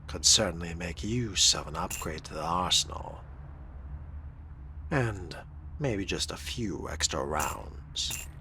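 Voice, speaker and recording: Suave, menacing game vampire played by a man: slow, deliberate pacing, crisp forward tone, dry predatory wit. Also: deep voice, sharp tone, a little hiss or hum, exaggerated voice. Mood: dry, polite. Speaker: player voicing the game's main character. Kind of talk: in-character video game roleplay.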